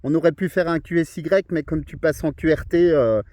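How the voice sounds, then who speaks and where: A slightly high-pitched man with a mellow, soft-edged tone talks casually as a radio hobbyist. He is conversational and keeps checking that his listener follows, in a dry, close-sounding recording. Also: normal speed, dry recording. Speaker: amateur radio operator. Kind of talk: casual radio contact chat.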